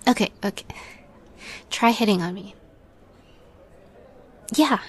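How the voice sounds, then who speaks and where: A woman playing a shy young catgirl roommate in an intimate roleplay audio, halting and nervous with a playful streak, often softening to a near whisper. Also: medium pitch, husky. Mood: teasing, playful.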